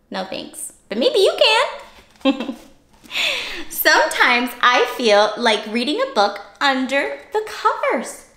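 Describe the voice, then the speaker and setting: A woman's voice: on the high side, sharp tone, reverberant. A woman teacher hosting an interactive read-aloud for young children, with exaggerated, playful, child-directed delivery. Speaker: teacher and story-time host for young children.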